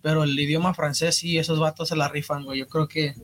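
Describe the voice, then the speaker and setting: Podcast co-host: Mexican man with a slightly high-pitched voice, loose street-slang conversational delivery. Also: little pitch movement, quick pace, fairly bright tone. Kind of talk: casual podcast chat.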